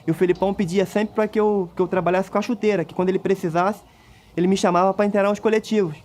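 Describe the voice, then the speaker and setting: Hesitant high-voiced man reminiscing. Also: steady tone, fast talker, a little mellow. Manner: hesitant, repeats words as he searches. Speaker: former team kit room assistant. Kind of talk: reminiscing documentary interview.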